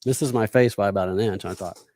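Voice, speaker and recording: A man's voice: on the low side, a little fast, darkish tone. An American man chatting casually as a podcast guest, telling slangy anecdotes and chiming in. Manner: slangy, interjecting.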